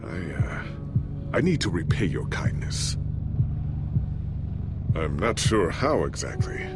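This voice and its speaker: A man's voice: low-pitched, sing-song, takes it slowly, exaggerated voice. An actor playing an earnest, noble warrior in a video game, formal and courteous in his speech. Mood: grateful, courteous. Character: noble, earnest warrior man with lost memory. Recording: loud music behind the voice.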